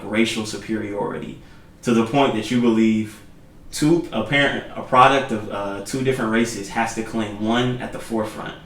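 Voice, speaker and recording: A man co-hosting a podcast, steering the casual discussion and laying out his view in a measured, thoughtful way. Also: fairly low pitch, a bit bright, recorded from a distance. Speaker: podcast co-host. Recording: roomy sound, off-mic, faint noise.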